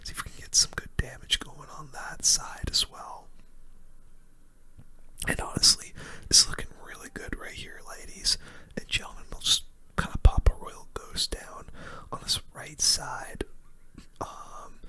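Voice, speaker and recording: A video game streamer giving casual, slangy gameplay commentary in a soft, unvoiced ASMR whisper. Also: medium pitch, steady tone, a little slow.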